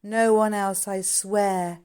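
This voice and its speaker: A woman's voice: mid-range voice, little pitch movement, slow pace, a little mellow, smooth, pitched above the performer's own voice, close to an everyday voice. Woman as an eager, informal young boy. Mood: promising. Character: excitable working-class boy, eager and loyal. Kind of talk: character dialogue in a novel reading.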